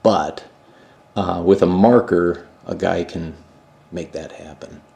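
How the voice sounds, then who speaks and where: Middle-aged man, relaxed rambling workshop talk, recorded off a camera mic across a roomy garage. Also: deep voice, takes it slowly, creaky, distant mic. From Minnesota, USA. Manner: relaxed, musing, thinks aloud with fillers. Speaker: motorcycle builder and channel host. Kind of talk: casual workshop vlog. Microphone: camera mic, moving around the room.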